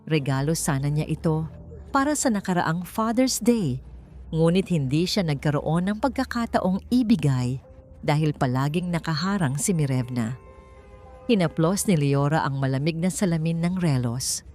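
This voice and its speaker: A woman's voice: deep voice, expressive, moderate pace, darkish tone. A woman narrator reading a scripted story in a dramatic storytelling style. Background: background music.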